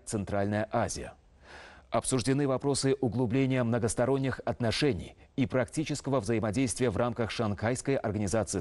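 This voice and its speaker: A male television news anchor in his thirties reads from a script in a studio. His voice sits at an average pitch. The delivery is official and measured, in a formal bulletin style. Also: darkish tone.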